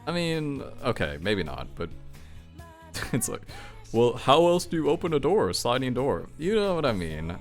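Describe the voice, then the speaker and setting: A man's voice: mid-range voice, wildly swooping pitch, normal speed, soft background music. A man giving casual, rambling, off-the-cuff reaction commentary on video games, thinking aloud and speaking frankly. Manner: rambling, self-questioning, frank with some swearing.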